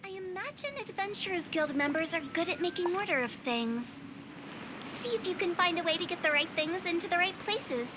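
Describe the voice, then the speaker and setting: A woman's voice: very high-pitched, animated, brisk, background noise. A woman voicing a playful, cryptic young guide in an anime video game, with a breezy, teasing, theatrical delivery. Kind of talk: English-language anime game voice acting.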